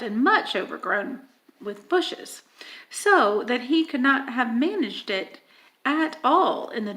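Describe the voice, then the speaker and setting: A woman reading a children's story aloud in an expressive, dramatic manner, with emphatic stresses and hushed moments. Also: high-pitched, poor audio. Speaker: audiobook reader.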